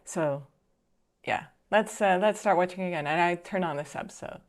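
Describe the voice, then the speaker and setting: A woman with a slightly low voice talks through a video as she watches it. She is chatty and thoughtful, pausing on fillers and restarting her sentences. It sounds like a casual reaction commentary. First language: Dutch. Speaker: filmmaker and reaction video host.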